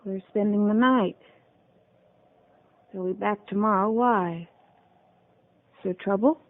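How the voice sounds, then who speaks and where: A woman's voice: medium pitch, slow pace, lightly acted. Casting: actress as spirited young frontier woman, pert and proud, muffled old-time radio recording. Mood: surprised, curious. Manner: pert, direct questioning. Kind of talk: 1950s radio western acting.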